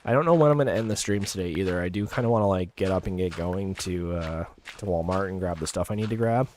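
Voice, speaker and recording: Young male streamer, deep voice gone a bit raspy, easygoing and meandering while he plays. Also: quick pace, a little mellow, a little hiss or hum. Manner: rambling, heavy use of "like" fillers. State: slightly raspy voice. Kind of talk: casual chat over gameplay.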